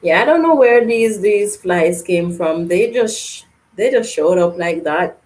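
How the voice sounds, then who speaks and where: A woman's voice: on the low side, animated, measured pace, darkish tone, rough recording. A woman hosting a live gardening Q&A from Canada, reading out viewer comments and answering in a chatty way that breaks into laughs. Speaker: gardening channel host. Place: home, live stream.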